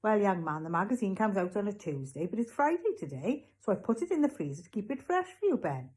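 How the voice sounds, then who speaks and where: A woman voicing an eccentric, eager male newsagent in a storybook, deliberate and full of salesman's enthusiasm. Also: mid-range voice, big pitch swings, a little mellow, voice pushed up, close to an everyday voice. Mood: cheerfully persuasive. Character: eccentric, eager male newsagent pushing odd special offers. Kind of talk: storybook character voice.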